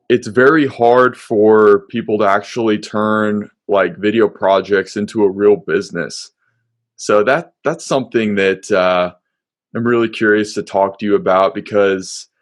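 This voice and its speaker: A man hosting a casual interview show, talking in a friendly, easygoing way with fillers. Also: on the low side. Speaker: podcast host.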